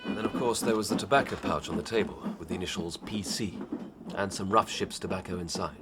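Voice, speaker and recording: A man's voice: low voice, fairly quick, over loud music, close to an everyday voice. An actor playing an earnest, eager male police inspector reporting his findings in a radio drama.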